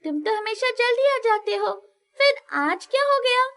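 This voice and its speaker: Young female classmate voiced by a woman: tiny, very high voice, friendly, inquisitive, animated story. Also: normal speed, heavily acted. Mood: friendly, curious. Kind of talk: animated moral story character dialogue.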